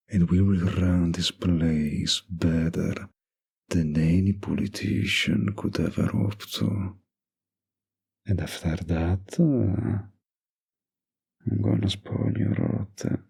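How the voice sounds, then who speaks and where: A man playing a doting, dominant young mafia heir boyfriend in an intimate roleplay audio drama, murmuring slow, possessive sweet talk with pet names. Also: darkish tone, exaggerated voice. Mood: earnest, determined. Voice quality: low gravelly, breathy murmur.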